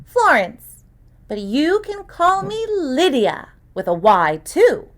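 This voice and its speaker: Woman acting precocious, sarcastic little girl, high-pitched. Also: takes it slowly, exaggerated voice. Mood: curious, assured. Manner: clear, calm, worldly beyond her years. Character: precocious young girl, theatrical, cynical, sarcastic. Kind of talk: acted audiobook dialogue.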